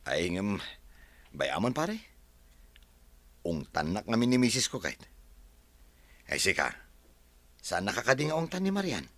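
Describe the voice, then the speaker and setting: A man's voice: fairly low pitch, huge pitch swings, measured pace, long pauses, a bit bright, no echo, full character voice. An actor in a Filipino radio drama playing an easygoing man who gambles with his friend. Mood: resigned, chummy.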